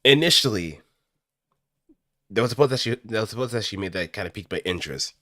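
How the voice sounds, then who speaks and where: A man streams in a loose, rambling chat. His bright voice swings widely in pitch. The sound is close and very dry. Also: fast talker, no echo. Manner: rambling, animated. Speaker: streamer practicing voice acting. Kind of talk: casual livestream chat.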